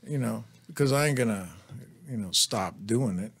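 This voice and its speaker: Middle-aged punk band guest, easygoing, blunt, swears offhand. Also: male voice, medium pitch, moderate pace, creaky, slightly rough. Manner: laid-back, blunt, swears casually. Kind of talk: casual profane radio chat.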